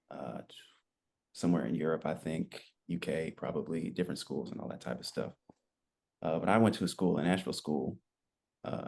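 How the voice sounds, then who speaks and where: A man in his twenties telling his story as a podcast guest, relaxed and rambling, with lots of fillers. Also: low-pitched, creaky. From United States. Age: 20s. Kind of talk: casual interview chat.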